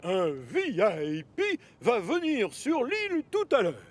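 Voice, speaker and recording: Pompous, high-voiced railway boss. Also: male voice, huge pitch swings, full character voice. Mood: self-important. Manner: pompous, formal, deliberate authority. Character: self-important male railway controller addressing his engines. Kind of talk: French-dubbed children's cartoon acting.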